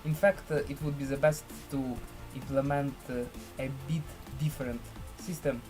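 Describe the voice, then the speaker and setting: A man presenting a screen-share software tutorial in halting, deliberate phrases with formal, elaborate wording. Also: medium pitch, fairly even intonation, over music.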